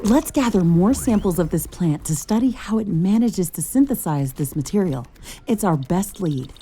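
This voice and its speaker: A woman's voice: fairly low pitch, lively intonation, normal speed, dark tone, slight background noise. A woman playing a composed, capable scientist-commando in a video game, with an earnest, scripted delivery. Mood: focused.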